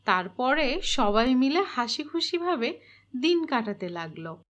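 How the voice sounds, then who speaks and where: A woman's voice: high-pitched, big pitch swings, measured pace, a bit bright, muffled recording. A woman reading scripted storybook narration for a children's cartoon.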